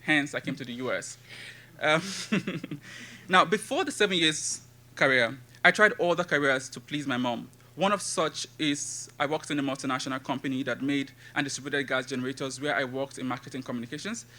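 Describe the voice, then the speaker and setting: A man in his thirties from Nigeria with a Nigerian accent, giving a conference talk and reciting his poetry in a measured, rhythmic way. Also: mid-range voice, bright, forceful tone. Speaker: conference speaker and poet. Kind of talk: academic talk with poetry reading.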